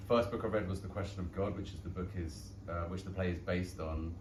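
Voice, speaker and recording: A man's voice: deep voice, fairly quick. A young man with a London Irish accent, an actor chatting casually and self-deprecatingly after a show. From London and Dublin. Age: young adult. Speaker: stage actor. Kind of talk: casual post-show talk. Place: small pub theatre, indoors. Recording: echoey room, distant mic, background noise.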